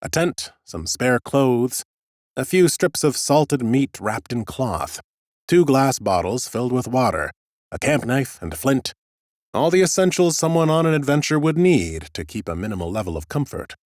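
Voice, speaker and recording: A man narrating an audiobook in the first person as an ancient undead scholar, a fussy, smug, whimsical gentleman with a theatrical, drily humorous delivery. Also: medium pitch, big pitch swings, moderate pace, no room sound, clean studio sound, natural voice. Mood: curious, appraising.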